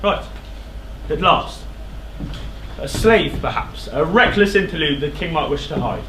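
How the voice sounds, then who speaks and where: A man playing a high-voiced, wrathful proud king. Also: lively intonation, a little slow. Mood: grim, probing. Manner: imperious, declamatory. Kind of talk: stage tragedy acting. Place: indoor theatre stage. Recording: echoey theatre stage with loud noise.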